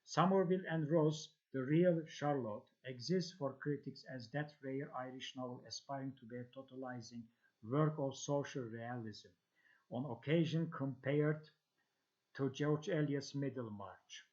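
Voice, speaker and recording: A man reading a scholarly text aloud as a lecture, halting and restarting on long words. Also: mid-range voice, a little mellow. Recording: dull lo-fi audio.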